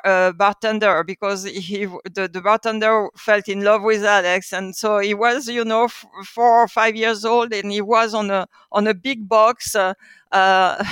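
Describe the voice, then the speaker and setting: A middle-aged woman with a French accent shares stories as an interview guest. Her voice is clear and bright, recorded very dry. She feels for her words, often repeating a phrase before moving on. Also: medium pitch, no echo. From France. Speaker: guest, publishing house co-founder. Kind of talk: conversational storytelling.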